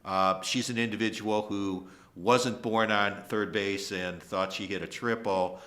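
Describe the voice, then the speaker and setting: Deep-voiced middle-aged male host. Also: a little slow. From Toledo, Ohio. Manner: relaxed, many ums and uhs. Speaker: host, attorney. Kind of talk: casual interview hosting.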